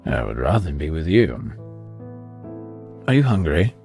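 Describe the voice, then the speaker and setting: A male actor plays a devoted lover with a low voice that rises and falls widely. He sounds tender, intimate and protective in an audio drama, with music beneath him. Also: sing-song, unhurried, vocal fry, darkish tone, heavily acted. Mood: tender, coaxing.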